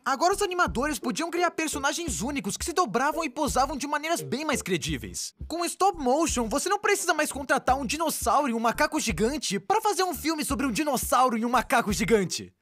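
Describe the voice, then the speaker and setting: Woman voicing an excitable high-pitched young cartoon narrator. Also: fairly quick, hardly any pauses. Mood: chatty, enthusiastic. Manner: chatty, exclamatory, addresses the viewer directly. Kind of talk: animated explainer narration, casual and jokey.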